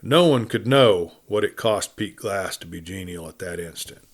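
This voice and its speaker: A man reading a western tale as a dramatic storyteller, deliberate and dropping to a hush at phrase ends. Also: mid-range voice. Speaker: storyteller, audiobook reader.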